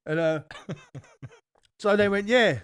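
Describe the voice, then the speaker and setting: A man's voice: sits high, animated, very slow, fairly bright tone, clear, resonant tone, dry recording, clean studio sound. A man with a London accent, co-hosting a podcast and telling a long rambling anecdote with plenty of swearing. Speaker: podcast co-host. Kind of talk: casual storytelling chat.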